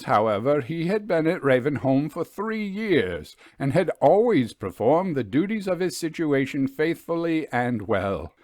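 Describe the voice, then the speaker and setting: A man reading a literary ghost story aloud in a measured, deliberate storytelling manner, with dramatic pauses. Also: mid-range voice, darkish tone, no echo, studio quality. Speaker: audiobook story reader.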